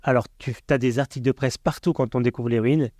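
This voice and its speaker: A man's voice: medium pitch, steady tone, a little mellow, no room sound, studio quality. A man from the Paris region hosting a casual podcast, chatty and opinionated, often jumping in. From Paris region, France. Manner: chatty, opinionated, quick to interject. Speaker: podcast host.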